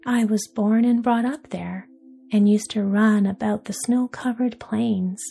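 A woman voicing a gentle male reindeer in a soft whisper, in a storybook tale. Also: medium pitch, fairly even intonation, measured pace, gravelly. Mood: proud.

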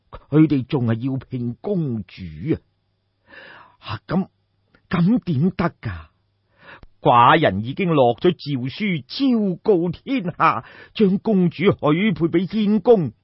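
A man's voice: fairly high pitch, breathy edge, no echo, highs cut off, full character voice. A man voicing an anxious, easily alarmed king in an audiobook, stammering and repeating himself as he exclaims.

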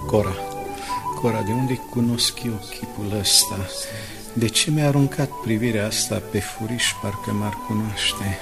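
A man's voice: lowish voice, steady tone, close to an everyday voice. A man playing a shrewd, self-assured and suspicious newspaper reporter in a radio drama, with a theatrical, insistent delivery. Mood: intrigued, searching memory. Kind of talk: 1980s Romanian radio drama acting. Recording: music behind the dialogue.

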